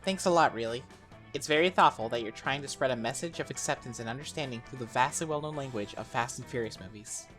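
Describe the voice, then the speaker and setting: A woman voicing a wry, patient young monster girl with an expressive, slightly dry delivery in an amateur game dub. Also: rumbling low, quick pace, faint music behind. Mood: appreciative. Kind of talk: amateur visual novel dub.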